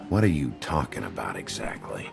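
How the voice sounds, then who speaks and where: A hard-boiled wolf lawman for a video game, world-weary and short on words. The voice is low and gravelly, with dry sarcasm that moves widely in pitch. Music plays behind it. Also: sing-song, brisk, heavily acted. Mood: stern, dry. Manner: terse, sardonic. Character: world-weary, dry, tough sheriff, the Big Bad Wolf.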